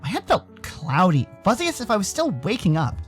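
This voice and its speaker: An American man narrates a visual novel in the first person. His voice sits a bit high and moves around a lot in pitch, dramatising the story over a music bed. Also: wildly swooping pitch, a little fast. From Arizona, United States. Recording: music behind the voice.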